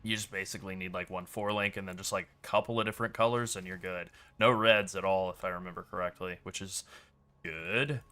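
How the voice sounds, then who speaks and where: A man's voice: sits low, a little fast. A man streaming a video game, commenting casually as he plays, with a rambling delivery full of "like.". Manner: relaxed, rambling, many "like" fillers.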